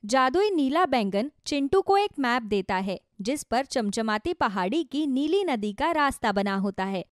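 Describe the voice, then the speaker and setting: High, animated woman storyteller. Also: unhurried, no echo, studio quality. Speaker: story narrator. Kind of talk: scripted children's story narration.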